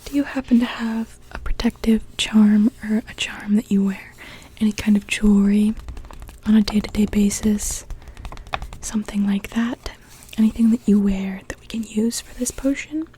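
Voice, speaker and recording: A young woman playing a kindly mermaid witch in a whispered ASMR roleplay, gently attending to a customer as she brews potions. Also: mid-range voice, steady tone, moderate pace, slight background noise, close to an everyday voice. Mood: attentive. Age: young adult.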